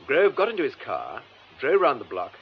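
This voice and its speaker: Composed English detective superintendent, radio drama. Formal, methodical, varied intonation, slightly noisy recording. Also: male voice, mid-range voice, huge pitch swings. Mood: measured, explanatory. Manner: measured, formal, precise delivery. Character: composed, methodical male police superintendent explaining a case. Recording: muffled lo-fi radio drama, faint noise.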